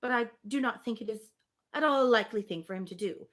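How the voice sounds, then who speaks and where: A woman's voice: fairly high pitch, fast, a bit bright, lightly acted. A woman reading a spirited, opinionated young woman from a Regency novel, emphatic and formal. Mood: firmly dismissive.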